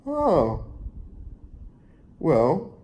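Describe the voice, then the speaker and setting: A chirpy, obliging woman who teaches school band, acted out by a man telling a story. The voice is lifted higher and sounds breezy and eager, offering help with easy questions. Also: huge pitch swings, extremely slow, dark tone, full character voice. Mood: chirpy, helpful.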